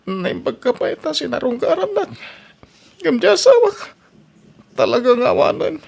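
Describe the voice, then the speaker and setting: A man voicing an excitable male drinking buddy, a taxi driver, emphatic and emotional, in an Ilocano audio drama. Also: normal speed, vocal fry, breathy edge, exaggerated voice. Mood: anguished, despairing. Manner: high-pitched, emphatic.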